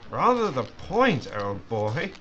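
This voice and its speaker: An actor playing a brisk upper-class wartime British officer in an audio drama, with a British accent and a clipped, gruff manner. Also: male voice, medium pitch, huge pitch swings, dull audio, heavy background noise. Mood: brisk, reassuring.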